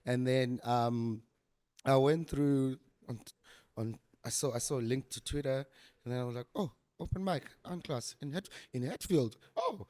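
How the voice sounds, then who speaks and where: A man's voice: medium pitch, normal speed, mellow. A man in his thirties, a musician guest on a podcast, laughing often and restarting his sentences. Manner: giggly, hesitant, many restarts. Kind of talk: casual interview chat.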